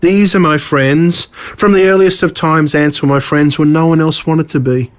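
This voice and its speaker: A man's voice: fairly high pitch, fairly even intonation, moderate pace, pitched above the performer's own voice, lightly acted. A man voicing a smug, menacing villain in a storybook reading, pompous and theatrical in his delivery. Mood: indignant, boastful. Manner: pompous, gloating, dramatic.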